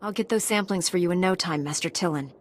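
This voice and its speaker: A woman's voice: lowish voice, fast talker, natural voice. A woman playing a confident, eager young warrior apprentice in a video game. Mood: self-assured.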